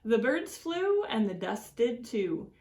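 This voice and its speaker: Woman for children's read-aloud: unhurried educator, swooping expressive delivery, mid-pitched voice, words stretched for effect. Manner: deliberate, expressive storytelling with drawn-out words and swoops. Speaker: extension educator reading aloud to schoolchildren.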